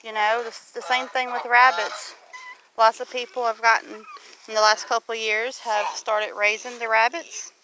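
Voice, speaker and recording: A woman's voice: a little high, flat delivery, moderate pace, noisy background. A middle-aged woman with a Southern US accent chatting casually as a homesteader, folksy and given to putting questions to the listener. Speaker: homesteader vlogger. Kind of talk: casual chat. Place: outdoors on a homestead near farm animals.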